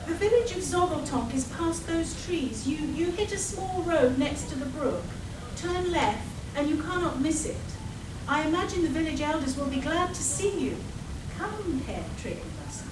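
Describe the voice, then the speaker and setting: A woman's voice: high-pitched, lively intonation, moderate pace. A woman playing a suave, imperious villainess in a live radio play, formal and arch. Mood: haughty. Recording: echoey, noisy hall recorded from far off.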